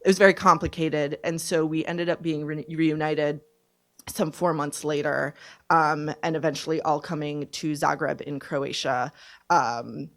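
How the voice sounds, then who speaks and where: A woman in her twenties giving personal testimony at a panel discussion, speaking in a halting, deliberate, reflective way. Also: low voice, fairly even intonation, fairly bright tone. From born in Serbia, raised from infancy in Canada. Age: 20s. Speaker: panelist, refugee survivor. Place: conference event, speaking on a panel.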